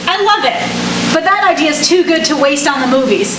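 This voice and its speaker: Gushing high-voiced actress as a showbiz woman. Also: expressive, fairly quick. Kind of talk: staged play reading. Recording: distant mic, echoey noisy theater.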